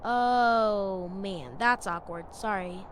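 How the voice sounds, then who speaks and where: A woman's voice: medium pitch, takes it slowly, noisy background, lightly acted. A woman playing a curious, frank young girl in a children's audio drama, chatty and candid. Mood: frank, sympathetic. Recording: music under the voice.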